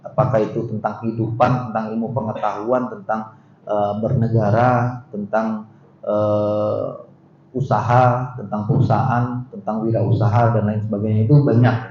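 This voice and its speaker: Middle-aged guy w minang accent lecturing, mellow voice, roomy dull sound, lots of eh and gitu. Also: medium pitch. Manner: conversational, asks the audience questions, frequent filler "eh" and "gitu". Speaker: university vice rector giving a seminar talk. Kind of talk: seminar lecture. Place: seminar room. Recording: dull roomy hall recording with faint noise.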